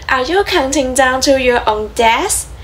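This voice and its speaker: A woman's voice: sits high, lively intonation, takes it slowly, slight background noise. A woman playing a cold, menacing government villain who taunts her victim in an amateur radio drama. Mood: menacing, amused.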